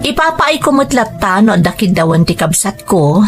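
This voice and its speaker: A woman's voice: mid-range voice, sing-song, moderate pace, lightly acted. A woman as an earnest Ilocano sister. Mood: reflective, earnest. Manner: earnest, reflective. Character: dutiful, hardworking overseas worker, devoted younger sister. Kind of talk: first-person audio drama narration and dialogue. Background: faint music.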